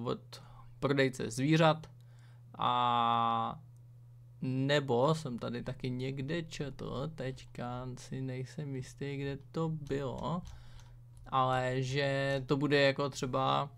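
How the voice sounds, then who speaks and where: Gravelly casual man narrating a screen. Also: medium pitch. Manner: rambling, many fillers, slangy. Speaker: gaming video creator. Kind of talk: casual screen-reading commentary.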